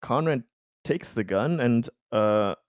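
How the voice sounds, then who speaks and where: Halting man in a tabletop game, close dry sound. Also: mid-range voice, animated, dry recording. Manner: halting, stammering with repeated words. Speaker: player in a tabletop roleplaying game. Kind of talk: casual in-game chat and narration.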